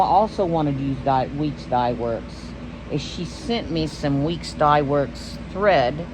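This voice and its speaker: Texas lady showing off her stitching gifts, wanders off topic a lot, air conditioner humming loud behind her. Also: deep voice, noisy background. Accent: Texan. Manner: rambling, loses her train of thought. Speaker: stitching vlog host. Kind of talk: casual show-and-tell vlog.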